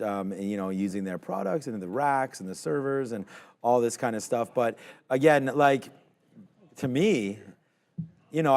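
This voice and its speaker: A man co-hosting a live trading show, talking through his trades in a relaxed, conversational way with lots of fillers. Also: mid-range voice. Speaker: stock trading show co-host. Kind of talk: live market commentary.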